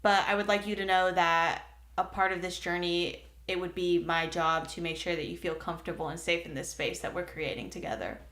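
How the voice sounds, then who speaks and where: A woman's voice: lowish voice, fairly bright tone. A woman playing a mild, formal, reassuring therapist in an improvised podcast comedy bit. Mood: measured, reassuring. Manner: measured, clinical, reassuring.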